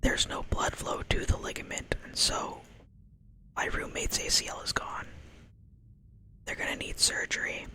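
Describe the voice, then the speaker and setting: A young woman telling a long personal story in a soft ASMR whisper, wandering off into asides as she goes. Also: measured pace, long pauses.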